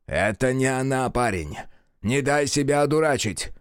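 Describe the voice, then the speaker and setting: A man voicing a stubborn old male exorcist who shouts urgent commands in a horror story. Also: medium pitch, moderate pace, clear, resonant tone, no room sound, clean studio sound, pitched above the performer's own voice, natural voice.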